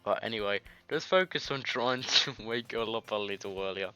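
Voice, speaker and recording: Bright-voiced man reading a game aloud. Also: on the low side, normal speed, clear, resonant tone. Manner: loose, stumbling read-aloud with misread words. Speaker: let's-play host. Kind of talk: reading visual novel game dialogue aloud. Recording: music behind the voice. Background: game music.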